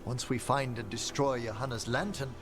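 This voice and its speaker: A man's voice: medium pitch, steady tone, natural voice. An actor playing a courteous older male necromancer in a video game, speaking formally and with care. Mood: composed concern. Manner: formal, articulate, measured. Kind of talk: scripted video game acting.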